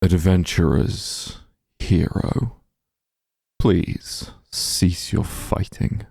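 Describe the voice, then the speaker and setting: A smug, possessive demon lord played by a man for a fantasy ASMR roleplay. The voice is low and very dark, thick with gravelly fry. He speaks without hurry, softly commanding and condescending. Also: no echo, studio quality, heavily acted. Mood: bored, condescending. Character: powerful demon lord, calm, smug, possessive, bargaining villain.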